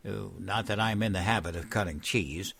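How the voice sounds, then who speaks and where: An actor playing a dim-witted, childlike, cheerful President-elect in a comedic audio drama, naive and earnest. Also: male voice, fairly low pitch, moderate pace, vocal fry, a bit bright, breathy edge, exaggerated voice.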